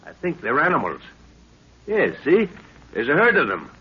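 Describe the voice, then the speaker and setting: Excitable scientist explorer, old raspy radio. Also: male voice, a little high, normal speed, full character voice. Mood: absorbed, eager. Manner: eager, authoritative, exclamatory. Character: Lorna's father, excitable older scientist explorer. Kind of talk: 1930s radio adventure acting. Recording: scratchy muffled 1930s radio recording.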